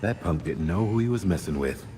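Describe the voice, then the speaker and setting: An actor playing a plainspoken veteran police officer in a game cutscene. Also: male voice, sits low, animated, a little fast, dark tone. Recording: background noise.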